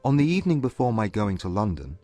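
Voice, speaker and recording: A man playing a proud but humbled gentleman suitor, earnest and formal, in a dramatized classic romance audiobook. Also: lowish voice, sing-song, no room sound, faint music behind. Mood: candid confession. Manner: formal, measured period English.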